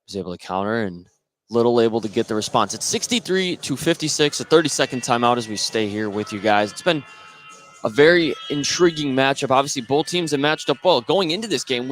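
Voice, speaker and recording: A man's voice: mid-range voice, a bit bright, slight background noise. A man doing live play-by-play radio commentary of a basketball game.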